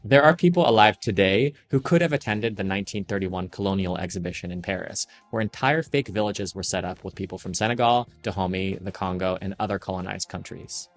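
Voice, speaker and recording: Low-voiced man narrating a serious essay. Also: faint music behind. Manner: measured, serious, critical. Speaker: fashion industry commentator. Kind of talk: scripted video-essay narration.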